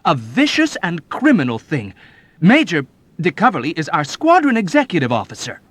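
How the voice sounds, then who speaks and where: A scandalized young serviceman, as a male narrator puts him on in a spoken-word story. He shouts his objections in a raised, strained voice, tripping over words in disbelief. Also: a little high, wildly swooping pitch, moderate pace, no room sound, voice pushed up, exaggerated voice. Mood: indignant. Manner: loud, outraged, stammering protests. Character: earnest, indignant young male soldier.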